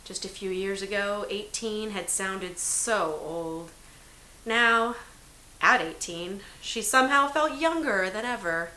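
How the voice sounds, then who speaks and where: A woman's voice: mid-range voice, slow pace, bright, forceful tone. A woman giving a dramatic scripted reading of narrative prose. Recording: roomy echo, hiss in background.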